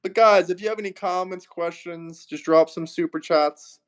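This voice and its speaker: Man, high voice, livestream host, heated arguing, mocking tone. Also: steady tone, normal speed. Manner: combative, mocking, asks for super chats. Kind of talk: heated political livestream debate.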